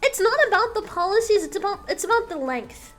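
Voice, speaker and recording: A young woman with a high voice chats casually on her stream, giving her opinions bluntly and candidly. She swears without a second thought, and faint music plays under her talk. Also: lively intonation, fairly quick, a bit bright. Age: young adult.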